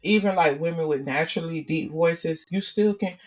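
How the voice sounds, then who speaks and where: Deep voiced woman cohosting a podcast, keeps hammering her point, mic kinda far off, faint music under it. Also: normal speed. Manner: opinionated, repeats points. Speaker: podcast co-host. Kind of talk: casual podcast chat.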